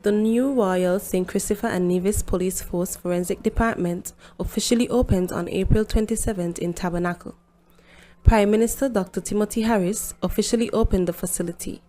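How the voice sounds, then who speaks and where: A woman narrates news from a script. Her voice is mellow and dark, at an average pitch. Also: fairly even intonation, a little fast. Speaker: news reporter.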